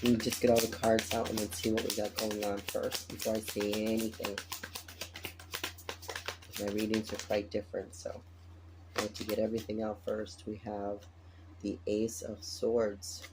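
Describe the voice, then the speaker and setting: Tired raspy-voiced man reading tarot. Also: fairly low pitch, flat delivery, normal speed, over music, low-quality recording. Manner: chatty, repeats phrases, laughs often. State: tired, morning voice. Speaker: tarot reader. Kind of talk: casual spoken tarot reading.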